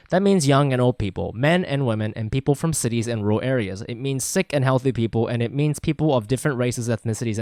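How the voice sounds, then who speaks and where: A man's voice: mid-range voice, darkish tone, no echo, studio quality. A man co-hosting a podcast in an informal, joking way, in casual chat and chatty host-read spots.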